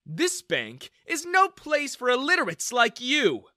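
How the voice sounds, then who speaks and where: A man acts out an arrogant bank manager in a narrated story. He is loud and scornful, with a high, forceful voice that swings widely in pitch. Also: wildly swooping pitch, measured pace, dry recording, clean studio sound, exaggerated voice. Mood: dismissive. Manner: haughty, mocking.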